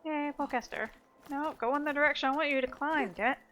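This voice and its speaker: A woman's voice: sits high, fast, a bit bright, a little hiss or hum. A woman giving casual gameplay commentary, playfully exclaiming and talking to herself and the game. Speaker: video game streamer. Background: game music and sound effects.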